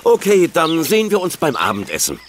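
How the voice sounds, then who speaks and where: A man's voice: on the high side, huge pitch swings, brisk, over music. An actor playing a friendly, easygoing middle-aged father and vet in a children's audio drama. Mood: relaxed, friendly.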